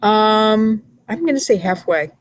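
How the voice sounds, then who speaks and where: Woman from Brooklyn, teacher and MBA student; hesitant, reflective video-call chat on a laptop mic, mid pitch. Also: animated, measured pace, a little hiss or hum. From Brooklyn, New York. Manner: thoughtful, hesitant, many fillers. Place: library.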